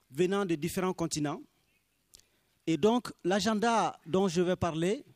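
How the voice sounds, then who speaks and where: A man's voice: fairly high pitch, darkish tone, no echo, clean studio sound. Man giving an earnest formal speech. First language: Minyanka. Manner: earnest, deliberate, emphatic on key phrases. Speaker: speaker for small farmers' movement.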